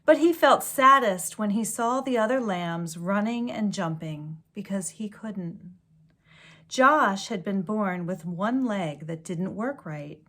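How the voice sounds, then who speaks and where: A woman reading a children's storybook aloud like a teacher, with expressive delivery and gentle asides. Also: medium pitch. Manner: slow, deliberate, expressive storytelling.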